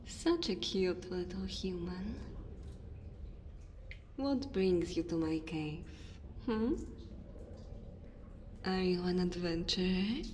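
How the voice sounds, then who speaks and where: A woman voicing a dominant, teasing female dragon in an ASMR roleplay, speaking slowly and theatrically to the listener. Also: mid-range voice, darkish tone, natural voice. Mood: teasing, predatory. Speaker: ASMR voice performer. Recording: faint cave ambience noise. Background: cave and fire sound effects.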